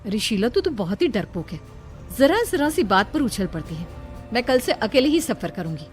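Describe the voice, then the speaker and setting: A woman's voice: on the high side, big pitch swings, normal speed, soft background music, pitched above the performer's own voice. A woman voicing a bossy, irritable young office worker in a cartoon horror story, scolding and dismissive. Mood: irritated scolding. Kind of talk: animated Hindi horror story dubbing.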